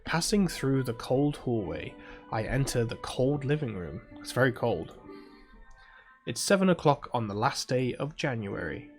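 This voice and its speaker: A man's voice: mid-range voice, sing-song, measured pace, faint music behind. A British man reading aloud as a sleepy, proud teenage girl mage, in a drowsy, grumbling first-person voice. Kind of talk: reading a visual novel aloud.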